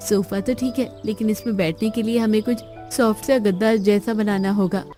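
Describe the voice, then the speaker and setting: A woman's voice: mid-range voice, steady tone, normal speed, warm tone, faint music behind, lightly acted. A woman voicing a resourceful, caring young daughter-in-law in a cartoon story. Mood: brisk, practical.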